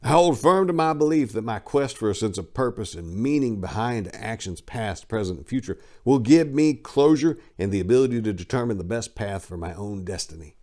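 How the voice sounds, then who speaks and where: A man with a fairly low voice reads from a memoir. He treats it as a dramatic reading, delivering the text in an emphatic, declamatory way. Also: expressive, a little fast, hardly any pauses, a little mellow. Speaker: reader of a memoir.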